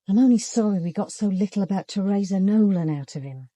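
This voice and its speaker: A woman's voice: medium pitch, fast talker, warm tone, no echo, close to an everyday voice. An actress playing a keen, candid British junior police officer in a radio drama, frank but deferential to her superior. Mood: candid, thoughtful.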